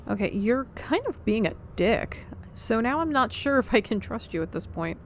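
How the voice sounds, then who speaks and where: A woman's voice: fairly high pitch, sing-song, moderate pace, a little hiss or hum. A woman playing through a game, reacting casually in an informal, sardonic and uneasy way. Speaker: game player reacting and voicing lines. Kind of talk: casual gaming playthrough commentary.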